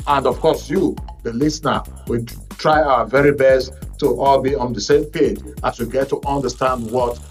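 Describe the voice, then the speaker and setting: A man's voice: medium pitch, steady tone, normal speed. Nigerian male talk show host over music. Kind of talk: current affairs programme presenting.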